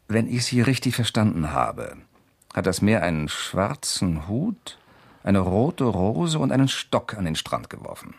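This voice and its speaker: An actor playing a persistent, slyly courteous investigator in a German radio crime drama, questioning insistently and deliberately. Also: male voice, on the low side, big pitch swings, creaky, husky, heavily acted. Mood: probing, feigned innocence. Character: persistent amateur investigator, male, probing with sly courtesy.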